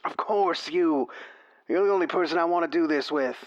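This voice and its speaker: Older male radio-play role, acted by a man: folksy, persuasive rodeo announcer, slightly high voice, dull close sound. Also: moderate pace, no room sound, lightly acted.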